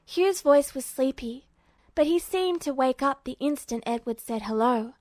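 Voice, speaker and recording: A woman reads fiction aloud as a narrator. Her voice sits on the high side, and the recording is dry with very little room sound. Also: expressive, unhurried, a little mellow, dry recording. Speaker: podfic narrator.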